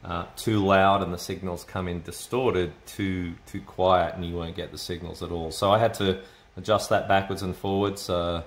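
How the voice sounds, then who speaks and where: A man's voice: low voice, flat delivery, moderate pace. A man giving an unscripted, hesitant, explanatory demonstration as a radio hobbyist, thinking aloud as he goes. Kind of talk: unscripted casual tech demonstration. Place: indoors at a home desk with computers. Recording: roomy echo, noticeable background noise.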